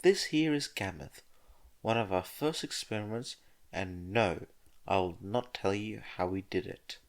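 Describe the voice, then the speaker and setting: A man's voice: on the low side, a little slow, creaky. A man voicing an eccentric, showy male scientist with a theatrical, dry and teasing delivery in a fan-fiction audio story. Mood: showy welcome.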